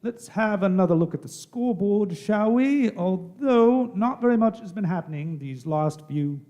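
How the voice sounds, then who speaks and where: An unflappable cricket commentator played by a man in a radio play. The voice is high and swings widely in pitch, genteel and chatty throughout. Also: animated, normal speed, mellow. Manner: genteel, unruffled.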